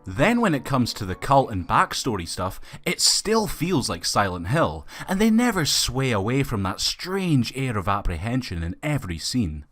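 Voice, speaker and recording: Male video reviewer, scripted but colloquial film commentary, animated intonation in a mid-to-low register. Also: normal speed, hardly any pauses, faint music behind.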